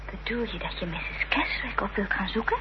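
An actress playing an anxious, protective Victorian gentlewoman with urgent, concerned delivery in a radio drama. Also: medium pitch, animated, brisk, natural voice. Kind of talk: period radio drama acting. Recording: dull, noisy, humming old radio recording.

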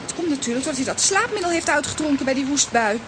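Casting: bossy little comic-strip girl, very high and lively, scolding, exclamatory, noisy rainy recording. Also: fast talker. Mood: worried, resolute. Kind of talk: children's audio drama acting. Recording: noisy audio with rain behind.

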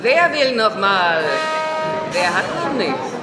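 An actress playing a brash, teasing cabaret singer who owns a club, in a radio play. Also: female voice, medium pitch, very animated, slow. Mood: saucy. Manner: saucy, teasing, commanding. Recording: roomy club with loud music and crowd.

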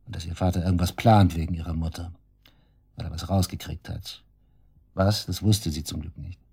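Casting: cocky shady suspect, dark low husky voice, taunting and coarse, radio play. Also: male voice, steady tone, moderate pace, exaggerated voice. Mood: confiding, matter-of-fact. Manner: taunting, cynical, coarse colloquial talk. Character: cocky, sneering male murder suspect, shady club worker. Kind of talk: German radio drama acting, interrogation dialogue.

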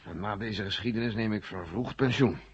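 An actor in a 1970 Dutch radio play, playing a grave, weary general who gives clipped commands from mission control. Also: male voice, lowish voice, fairly quick, breathy edge. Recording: dull, very noisy old radio recording.

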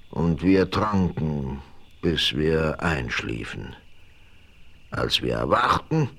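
A grave, vengeful chief, with a very deep voice. He speaks slowly and tells his story in a measured way. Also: fairly bright tone, exaggerated voice. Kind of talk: 1970s radio play acting.

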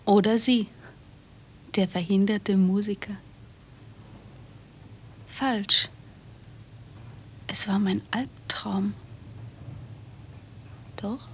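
A woman's voice: mid-range voice, steady tone, very slow, long pauses. An actress playing a troubled, defiant woman in a German radio drama, speaking with brooding intensity. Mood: subdued, confessional. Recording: noisy cassette tape of radio drama.

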